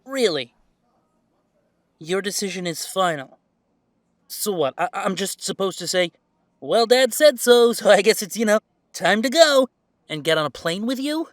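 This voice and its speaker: Guy acting young werewolf son, earnest n defiant, highish voice, super dry close recording, audio drama. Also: lively intonation, unhurried, pauses often, no echo. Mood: exasperated.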